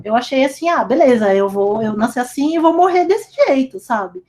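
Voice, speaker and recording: A young woman with a fairly high voice talks casually into a remote call. She speaks in loose, chatty runs and leans on little fillers as she goes. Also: lively intonation, moderate pace, darkish tone. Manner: chatty, lots of fillers like "sabe" and "tipo". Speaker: speaker at a company talk. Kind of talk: casual personal talk. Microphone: remote call recording.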